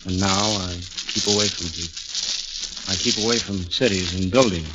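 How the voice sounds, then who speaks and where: A man's voice: on the low side, heavy background noise, lightly acted. An American actor playing a haunted, plain-spoken night garage man telling his story in a 1940s radio thriller. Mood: haunted, bitter. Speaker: actor narrating and playing the lead. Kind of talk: 1940s radio thriller acting, first-person narration. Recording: dull 1940s radio recording, loud music behind.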